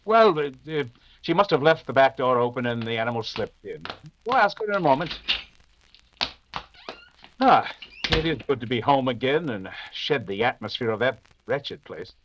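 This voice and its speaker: A man's voice: medium pitch, expressive, normal speed, dull audio, noisy background, lightly acted. A man playing a composed, dismissive, wry detective in an old-time radio mystery, urbane in manner. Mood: unruffled, genial.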